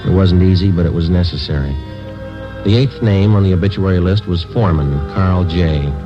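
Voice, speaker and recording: Man acting a deep deadpan police sergeant, old radio. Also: moderate pace, natural voice. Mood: deadpan, somber. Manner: deadpan, matter-of-fact. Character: stoic male police sergeant, terse and businesslike. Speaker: lead actor and narrator. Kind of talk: 1950s radio drama acting, clipped narration. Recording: old muffled radio recording with music.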